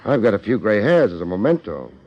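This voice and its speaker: An actor playing a wry, hard-boiled American detective with deadpan wisecracks, in 1950s radio drama style. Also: male voice, lowish voice, fast. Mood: sardonic, pressing. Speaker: detective and narrator. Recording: dull, band-limited 1950s radio recording.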